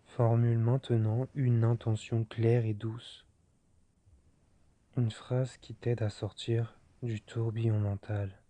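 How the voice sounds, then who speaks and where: A man guiding a yoga nidra relaxation in a soft, soothing, unhurried manner, leaving long pauses. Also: medium pitch, level tone, no room sound. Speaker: meditation guide.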